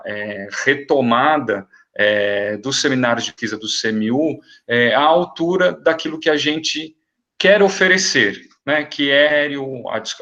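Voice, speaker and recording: A man's voice: mid-range voice, a bit bright. A man organizing a research seminar, giving earnest, hesitant thanks on a video call. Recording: dull lo-fi video call audio.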